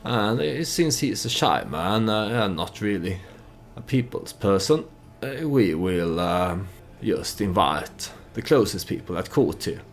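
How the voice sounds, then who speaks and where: A man giving unscripted, storytelling commentary on a game he plays, halting as he thinks aloud and speaks for his character. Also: fairly low pitch, big pitch swings. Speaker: let's play host.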